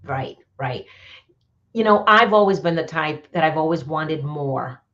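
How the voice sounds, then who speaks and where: A woman's voice: low voice, animated, unhurried, fairly bright tone. A woman with a New York accent, a podcast guest talking casually and candidly about her own life, often repeating herself for emphasis. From New York area, United States. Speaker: podcast guest, business coach. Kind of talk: casual personal storytelling. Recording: dull, muffled lo-fi audio.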